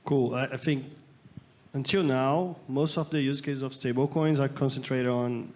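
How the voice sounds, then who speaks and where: Flat-voiced Brazilian man on a panel. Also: mid-range voice, moderate pace. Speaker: panelist, startup founder. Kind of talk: conference panel talk. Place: conference stage.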